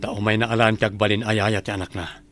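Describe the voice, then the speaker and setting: A man's voice: lowish voice, level tone, fast, over loud music, lightly acted. A man playing a blunt, commanding wealthy mansion owner in a radio comedy drama. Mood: stern. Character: wealthy male mansion owner, childless husband.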